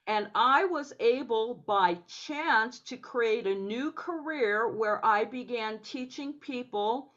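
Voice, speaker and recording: A woman dance educator telling her story as a guest on a video call interview, speaking in a measured, deliberate way. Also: on the high side, fairly bright tone, dull audio.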